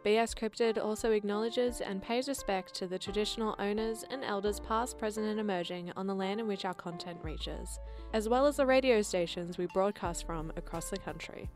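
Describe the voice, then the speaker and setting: A woman reading scripted narration and credits as the writer and producer of a show. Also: mid-range voice. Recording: music behind the voice. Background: music.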